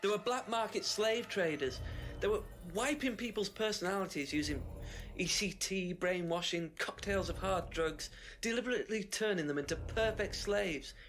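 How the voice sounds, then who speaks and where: An actor playing an agitated, smug conspiracy theorist in a mystery video game, emphatic and know-it-all. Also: male voice, high voice, normal speed, a bit bright, faint music behind. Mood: intense, insistent. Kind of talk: FMV mystery game acting.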